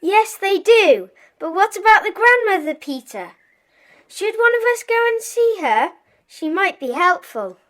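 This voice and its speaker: An outspoken schoolgirl in a kids adventure play, high lively voice, emphatic. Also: measured pace, heavily acted. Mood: eager, helpful. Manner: emphatic, forthright. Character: forthright, indignant schoolgirl club member. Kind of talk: children's audiobook dramatization.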